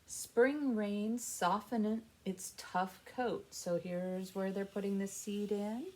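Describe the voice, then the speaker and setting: A woman hosting story time for young children, reading aloud with expressive emphasis and explaining things simply with questions to the listeners. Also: mid-range voice, slow pace.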